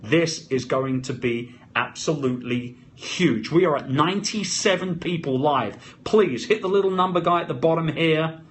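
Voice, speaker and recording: A man's voice: on the high side, moderate pace, fairly bright tone. A man with a London British accent live-streaming off the cuff, talking emphatically in short, punchy, motivational bursts. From London, England. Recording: noisy live phone stream.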